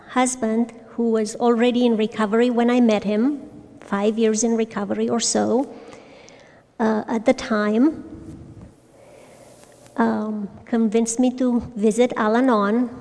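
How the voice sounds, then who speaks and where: A woman in her forties from Romania, sharing her personal story at a recovery fellowship meeting in a hesitant way, with frequent pauses and restarts. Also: fairly high pitch, dark tone. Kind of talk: personal testimony talk. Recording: long reverb from a large hall.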